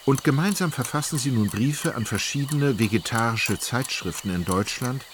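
A man narrating a literary radio play in a measured, precise, formal manner. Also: mid-range voice, animated, background noise.

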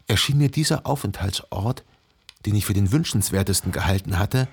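An actor playing a brooding, obsessive jilted lover who narrates his thoughts in an introspective, deliberate way in a literary radio drama. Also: fairly low pitch, expressive, gravelly, slightly rough, heavily acted. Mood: anxious brooding. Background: music.

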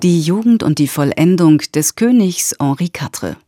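A woman's voice: lowish voice, animated, normal speed, over music. A woman reading scripted credits as a radio announcer.

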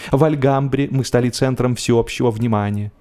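A man narrating a humorous story as scripted audio drama, with expressive, measured, theatrical phrasing. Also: mid-range voice. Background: music.

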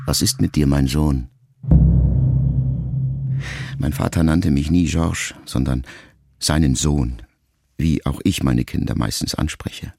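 A man reading a first-person letter as an audiobook narrator, calm and measured. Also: very deep voice, vocal fry. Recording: music bed under the voice.

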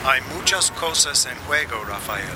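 An actor playing an insistent, calculating go-between for corrupt interests in a radio drama. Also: male voice, mid-range voice, animated, a little slow, lightly acted. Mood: insistent, pressing. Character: pressuring male go-between for corrupt business interests. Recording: noisy outdoor ambience with cars.

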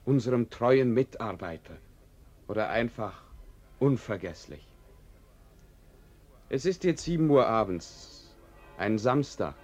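An actor playing a wry, slyly flattering narrator in a radio drama, speaking in a measured, insinuating way. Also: male voice, mid-range voice, soft background music. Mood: dry, solemn. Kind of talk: radio drama narration addressing listeners and character.

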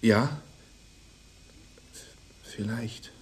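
A man's voice: lowish voice, husky, exaggerated voice. An actor playing a shy, lonely young man in a crime radio play, answering hesitantly and awkwardly. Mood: hesitant, wistful. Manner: hesitant, halting short answers. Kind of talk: 1990s German crime radio play acting.

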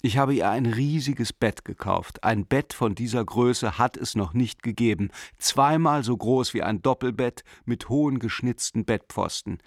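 Male actor, jovial self-satisfied family man, medium pitch, boastful expressive letter monologue, radio play, very dry recording. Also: vocal fry, no echo, natural voice. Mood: amused, fond.

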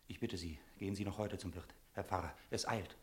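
Actor as a deep-voiced, haunted mystical stranger. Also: male voice, fairly quick, slight background noise, close to an everyday voice. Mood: solemn, urgent. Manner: solemn, poetic, haunted. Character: eerie, mystical wandering stranger from Masuria. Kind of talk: German radio drama acting.

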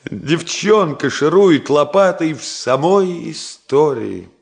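A man's voice: a little high, animated, takes it slowly, vocal fry, exaggerated voice. A man playing a middle-aged engineer father in a radio drama, tender and wry, speaking in short, wistful, joking phrases. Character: ailing engineer father, tender, wry, hiding his worry. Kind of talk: Soviet radio drama acting.

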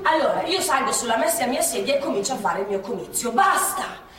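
A woman's voice: on the high side, animated, brisk, a crowd in the background, lightly acted. An actress playing a spirited, proud elderly former partisan woman with a Milanese accent, telling memories in an emphatic, repetitive, colloquial way on stage. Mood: impassioned. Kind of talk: theatrical reminiscence on stage. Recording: roomy theatre, distant mic, faint noise.

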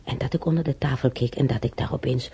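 A man playing an elderly German emigrant in a radio drama, reminiscing in a rambling, halting way with a German accent and husky, creaky voice. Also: mid-range voice, mellow. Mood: amused, nostalgic. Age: older. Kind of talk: intimate radio drama monologue.

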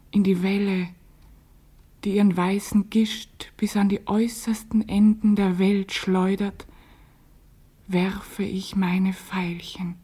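Breathy, slow-spoken grieving woman. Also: mid-range voice, warm tone. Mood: solemn resolve. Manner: solemn, measured, lyrical delivery. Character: grieving, lonely, dreamy woman in a literary radio play. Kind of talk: poetic interior monologue, German radio drama.

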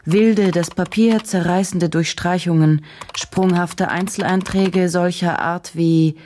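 An actress playing a driven, troubled journalist in a German radio drama, speaking with brooding, indignant intensity and deliberate phrasing. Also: female voice, fairly low pitch, fairly even intonation, dark tone, slight background noise. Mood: scathing. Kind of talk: German radio drama, dialogue and inner monologue.

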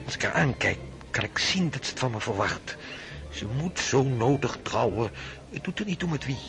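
An actor playing a brooding, hesitant young aristocratic lieutenant in a radio play. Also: male voice, medium pitch, big pitch swings, normal speed, vocal fry, fairly bright tone. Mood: reluctant. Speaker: suitor. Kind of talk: radio drama acting.

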